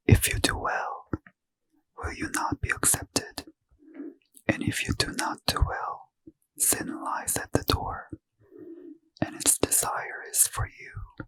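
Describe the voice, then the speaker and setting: A man softly whispering a Bible reading in ASMR style, close to the mic. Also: no room sound, poor audio. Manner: slow soft whisper, unvoiced throughout. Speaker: reader.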